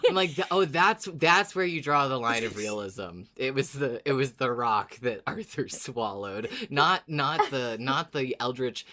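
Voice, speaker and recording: A man's voice: mid-range voice, a little slow, hardly any pauses, pushed and bright. A man co-hosting a podcast, chatting casually about a fiction show in a playful, teasing manner. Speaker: podcast co-host.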